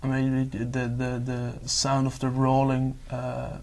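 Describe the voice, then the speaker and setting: A man's voice: medium pitch, monotone, slow, creaky, a little mellow. A young man with a Dutch accent, a candidate in a speaking exam, answering hesitantly with many filler sounds. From Netherlands. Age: young adult.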